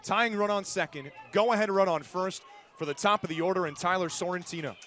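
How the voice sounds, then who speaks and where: A man's voice: high voice, brisk, a bit bright, slight background noise. A man doing live play-by-play sports commentary in punchy, clipped phrases, as an announcer calling the game. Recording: live stadium crowd behind the voice. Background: outdoor ballpark crowd.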